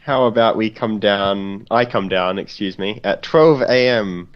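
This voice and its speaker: A man's voice: fairly low pitch, a little slow, dull audio, faint music behind. A man talking casually as a player in a tabletop roleplaying game.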